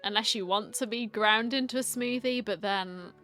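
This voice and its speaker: A woman's voice: medium pitch, normal speed, pushed and bright, faint music behind. A woman streamer giving casual, playful, teasing commentary as she plays through a game. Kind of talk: casual reaction commentary, reading dialogue aloud.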